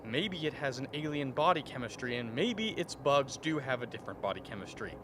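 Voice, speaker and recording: A man's voice: mid-range voice, very animated, brisk, natural voice. A man playing an alarmed, argumentative expedition doctor in a radio drama. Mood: urgent, challenging. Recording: faint background noise.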